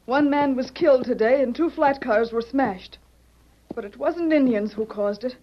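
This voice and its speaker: A woman's voice: sits high, moderate pace. A woman playing an earnest, worried secretary in an old-time radio western, speaking urgently and precisely. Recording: muffled, hissy vintage radio recording.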